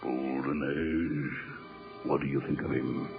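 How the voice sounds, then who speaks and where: An actor playing a sinister, pompous Victorian master, stately and imperious, in a radio horror drama. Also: male voice, rumbling low, flat delivery, slow pace, gravelly, exaggerated voice. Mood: smug, gloating. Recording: dull lo-fi old radio trailer, music behind.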